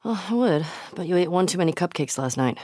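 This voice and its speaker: A woman's voice: fairly low pitch, animated, fast, mellow, dry recording, studio quality, lightly acted. An actress playing a tough, foul-mouthed, wry young woman survivor in a naturalistic video game performance. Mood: playful. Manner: muttering, terse, casually profane.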